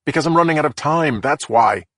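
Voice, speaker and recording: A man's voice: a little high, lively intonation, fairly quick, a bit bright, dry recording, clean studio sound, voice pushed up. A man voicing a stressed middle-aged man who hisses threats and panics on the phone, in a profane, snarling way. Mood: panicked. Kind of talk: audiobook character voice.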